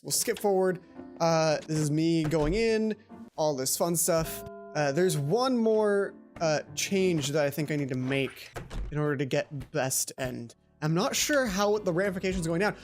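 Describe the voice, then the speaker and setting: A woman hosting a let's play video in a casual, rambling, self-deprecating way, given to exclamations. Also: on the low side. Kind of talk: casual game commentary with read-aloud dialogue. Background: game music.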